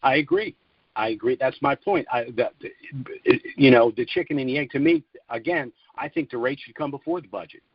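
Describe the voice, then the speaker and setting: A man's voice: medium pitch, normal speed, husky, dry recording. A man hosting a call-in conference, giving opinionated, emphatic and argumentative commentary in a conversational way over a phone line. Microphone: telephone conference line.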